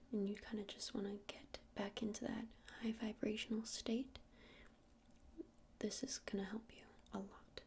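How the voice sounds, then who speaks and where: A gentle witch who keeps a shop , played by a woman for an ASMR roleplay. She whispers breathily and evenly, with little rise or fall. Her explanations are soothing and hesitant, over a faint layer of background noise. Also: medium pitch. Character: gentle witch shopkeeper showing crystals to a customer.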